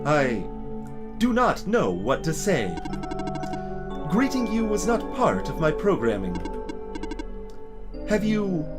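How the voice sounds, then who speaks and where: A man voicing a stiff, formal robot guard in a video game, speaking in measured, proper sentences. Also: on the high side, huge pitch swings, loud music behind the voice, heavily acted. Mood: formal, puzzled. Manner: formal, measured, uncontracted speech.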